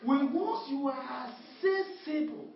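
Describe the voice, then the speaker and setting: A woman's voice: sits high, lively intonation, slow pace. A woman preaching a sermon, repeating emphatic refrains and calling out to the congregation. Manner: repetitive call-and-response preaching, emphatic refrains. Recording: echoey hall, distant mic, dull sound.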